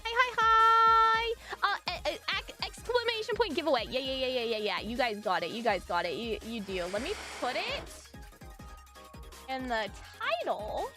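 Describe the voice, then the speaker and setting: A woman's voice: high voice, lively intonation, normal speed, over music. A woman streamer chatting bubbly with her live audience, greeting viewers by name and announcing a giveaway.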